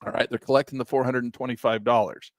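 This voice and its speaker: An older man teaching options trading in a live session, animated and exasperated, breaking into mocking high voices and low grumbles. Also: fast, dry recording. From Southern Utah. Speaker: trading educator. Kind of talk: live-stream trading lesson, off-the-cuff rant.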